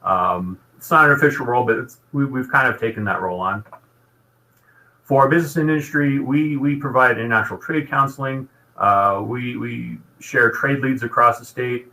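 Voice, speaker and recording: A man's voice: mid-range voice, moderate pace, bright, forceful tone, poor audio. A man giving an informational presentation about his program in an explanatory, businesslike manner.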